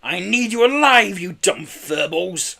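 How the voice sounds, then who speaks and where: Guy doing a sneery bossy storybook bad guy, loud, high-ish, all over the place. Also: animated, a little slow, creaky, exaggerated voice. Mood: bellowing. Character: sneering, bullying male villain boss.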